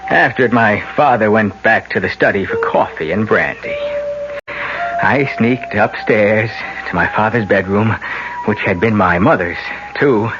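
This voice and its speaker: An actor playing a desperate, scheming young adult son in an old radio drama, both speaking in scenes and narrating his confession. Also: lowish voice. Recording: muffled old radio, music behind.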